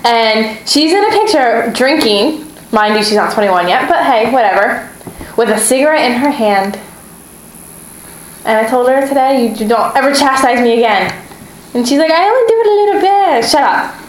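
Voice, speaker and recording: A young woman with a fairly high voice chats loosely with friends on a podcast. She calls her own voice screeching and laughs easily. The room around her is echoey, with a faint hiss behind her. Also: animated, moderate pace. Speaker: podcast co-host. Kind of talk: casual chat. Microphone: shared mic on a table, an iRiver player.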